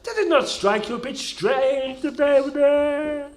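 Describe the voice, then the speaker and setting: A man playing a pompous, excitable judge in a comedic radio sketch, bossy and theatrical. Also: very high voice, unhurried. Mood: mock stern. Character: pompous, excitable courtroom judge with daft outbursts.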